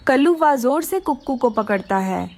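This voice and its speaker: High, animated female storyteller. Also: normal speed. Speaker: narrator. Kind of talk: scripted children's story narration.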